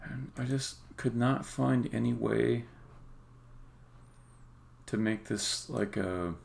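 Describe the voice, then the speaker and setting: A man thinking aloud while live-coding as a game developer, halting and muttering to himself, with keyboard typing and clicking around him. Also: medium pitch, vocal fry, a little mellow. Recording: faint background noise, keyboard typing. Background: computer keyboard typing and clicking.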